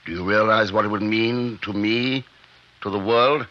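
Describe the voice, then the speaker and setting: A man's voice: fairly low pitch, fairly even intonation, moderate pace, close to an everyday voice. A man playing an obsessed, troubled surgeon in an old radio drama, with an intense, clipped and insistent delivery. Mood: driven, insistent. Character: obsessed, troubled male surgeon secretly creating life. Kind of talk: 1940s-50s radio drama acting. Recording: muffled vintage radio recording, faint noise.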